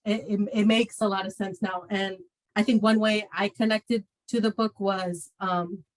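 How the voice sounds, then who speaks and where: A woman's voice: mid-range voice, flat delivery, normal speed. An American woman co-hosting a virtual book event as a bookseller, reflective and hedging her questions with many fillers. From United States. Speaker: bookseller co-host.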